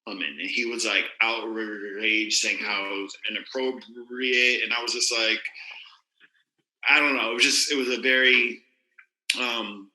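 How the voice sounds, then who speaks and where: Man, hesitant, stammering, very bright tense voice, echoey room. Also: on the low side, steady tone, a little slow, a little hiss or hum. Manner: hesitant, stammers and restarts when pushed. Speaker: podcast co-host. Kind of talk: casual group discussion.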